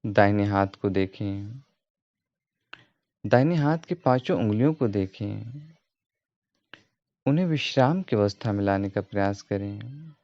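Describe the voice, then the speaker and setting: A man giving guided meditation instruction as a yoga teacher, in a calm, measured, repetitive way. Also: lowish voice. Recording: roomy echo, dull muffled sound.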